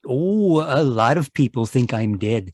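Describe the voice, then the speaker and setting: A man's voice: medium pitch, expressive, unhurried, a little mellow, no echo. An actor playing a timid, apologetic, world-weary man of about fifty in a radio mystery, hesitant and stammering. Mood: nervous. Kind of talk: radio mystery drama acting. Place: live remote performance over video call.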